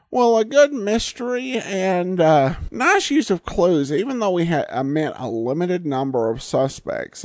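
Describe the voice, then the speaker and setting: A man's voice: a little high, vocal fry, darkish tone, no room sound, studio quality. A man hosting an old-time radio podcast, chatting casually and reading out listener mail, with frequent ums and uhs. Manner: conversational, many filler words and restarts. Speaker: podcast host.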